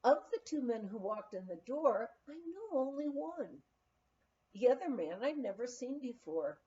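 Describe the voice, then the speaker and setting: A woman reading a memoir aloud in an expressive storytelling style. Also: on the high side, moderate pace, dull audio. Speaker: audiobook reader.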